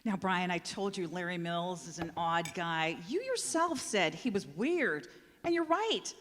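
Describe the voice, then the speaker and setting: A woman's voice: lowish voice, lively intonation, measured pace, a bit bright. A woman acting in a live radio play as an eager assistant to an eccentric boss, earnest and persuasive. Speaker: actor. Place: large hall, live stage show. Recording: reverberant hall, live stage recording.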